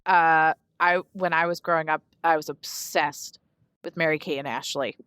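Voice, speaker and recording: A woman's voice: low-pitched, steady tone, moderate pace, fairly bright tone, smooth, no echo, studio quality. A woman speaking as a podcast co-host in informal, conversational chat. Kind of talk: casual chat.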